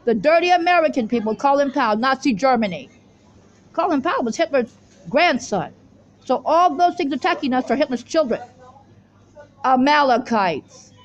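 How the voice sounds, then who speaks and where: A woman's voice: high voice, animated, normal speed, lo-fi sound, a little hiss or hum. A woman giving a rambling, unscripted religious monologue in an insistent, repetitive, disjointed way. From Israel. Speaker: self-styled religious teacher introducing the weekly Torah portion.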